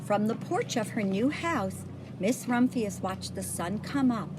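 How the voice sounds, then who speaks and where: A woman reading a children's picture book aloud, pausing to point things out to young listeners. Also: mid-range voice, lively intonation, background noise. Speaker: storybook reader.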